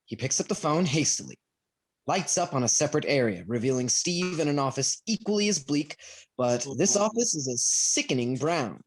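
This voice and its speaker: A man's voice: fairly high pitch, expressive, normal speed, a little hiss or hum, low-quality recording. A man reading stage directions as scripted narration for a comedy play reading. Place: remote reading over a video call.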